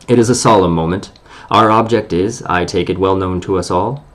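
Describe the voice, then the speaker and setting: A man's voice: low-pitched, normal speed, natural voice. A man voicing a grave, pompous male dwarf leader who speaks in long, solemn speeches. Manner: solemn, long-winded oratory.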